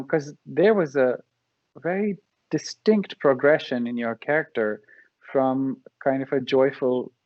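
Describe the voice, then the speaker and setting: A man's voice: mid-range voice, no room sound, lo-fi sound. A man moderating a festival Q&A, asking polite, hesitant questions with ums. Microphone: video call.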